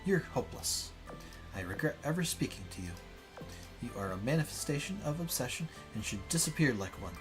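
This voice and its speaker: A man's voice: mid-range voice, lively intonation, a little fast, lightly acted. A man voicing a stern, righteous noblewoman from a visual novel with formal, solemn delivery. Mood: accusing. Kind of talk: dramatic visual novel read-aloud. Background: game music.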